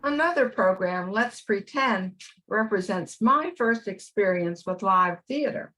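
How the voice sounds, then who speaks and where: A woman's voice: mid-range voice, expressive, measured pace, sharp tone, low-quality recording. An older woman with an American accent reading aloud her prepared personal memories of radio. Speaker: class member sharing radio memories.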